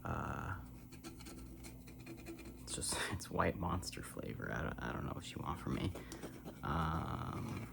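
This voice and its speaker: An American ASMR creator rambling softly and casually in a breathy half-whisper, with a self-deprecating manner, close to the microphone. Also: rumbling low, slow pace, long pauses, noisy background. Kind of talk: soft-spoken ASMR rambling. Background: tapping and scratching on cans.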